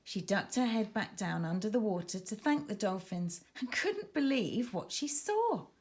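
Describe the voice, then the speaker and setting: A woman's voice: mid-range voice, big pitch swings, normal speed. A woman narrating a children's story expressively, with dramatic emphasis as she reads. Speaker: author reading own children's book.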